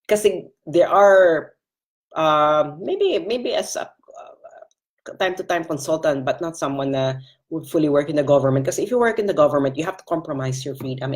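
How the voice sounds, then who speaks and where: A Filipino-accented woman in her thirties, frankly answering viewer questions in a casual live Q&A. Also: low voice. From Philippines. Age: 30s.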